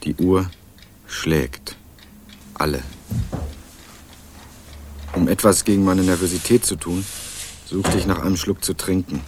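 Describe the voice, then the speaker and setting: An actor playing a young student who narrates in a dry, wry tone and questions people persistently. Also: male voice, sits low, steady tone, unhurried, pauses often, a little mellow, a little hiss or hum. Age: young adult. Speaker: first-person narrator and student. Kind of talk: scripted crime radio play narration.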